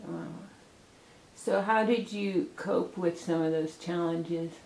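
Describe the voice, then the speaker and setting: Young woman interviewer, lowish voice, roomy echo, asks set questions with quick reactions. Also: gravelly, darkish tone, a little hiss or hum. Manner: reads prepared questions, short reactions. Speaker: student interviewer. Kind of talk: casual interview. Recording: echoey room.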